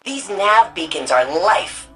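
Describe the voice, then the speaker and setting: A woman's voice: lowish voice, expressive, takes it slowly, background noise. An actress playing a weary, wry young woman hacker in a sci-fi game, talking casually and rambling a little. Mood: dry, focused. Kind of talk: video game dialogue.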